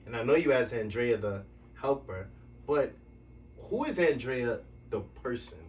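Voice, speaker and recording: A man hosting a podcast from a basement home studio, giving lively, playful introductions and questions. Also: medium pitch, measured pace, distant mic. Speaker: podcast host. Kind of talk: podcast interview.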